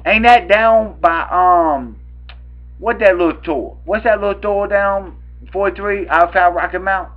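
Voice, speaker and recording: A man's voice: high voice, measured pace. A young man with a Southern US accent making a prank call, rambling, repeating himself and stammering. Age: young adult. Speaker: prank caller. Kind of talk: improvised prank phone call. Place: small room at home, indoors. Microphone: webcam at arm's length. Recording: dull, lo-fi webcam audio.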